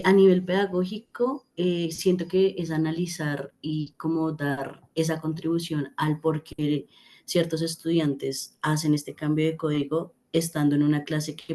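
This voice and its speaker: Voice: medium pitch, a little mellow. A student answering a teacher's question about a research project, with frequent fillers and restarts. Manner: hesitant, many fillers.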